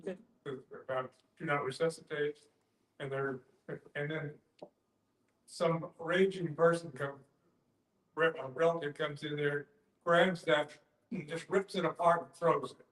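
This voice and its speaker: A man with a somewhat high voice talks in a very echoey room. He shares reflections and personal stories in a group discussion, feeling his way through sentences and often starting them over. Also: steady tone, a little slow, long pauses, fairly bright tone.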